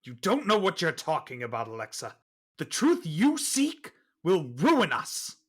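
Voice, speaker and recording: Hot-headed male vampire councilman, audio drama. Very animated, raspy, accusatory and easily outraged. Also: on the high side, measured pace, heavily acted. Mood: bitter, warning.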